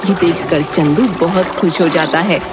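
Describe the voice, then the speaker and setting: A woman's voice: fairly low pitch, fairly even intonation, normal speed. A woman narrating a scripted storybook tale as its narrator.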